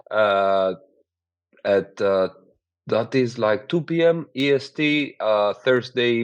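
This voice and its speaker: A man with a Greek accent hosts a loose podcast conversation, laughing a lot between his words. His voice sits fairly low. The sound is dry and a bit dull, like a lo-fi online call. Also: smooth, no echo. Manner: laughs often, swears casually, many fillers. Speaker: host. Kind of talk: casual podcast chat.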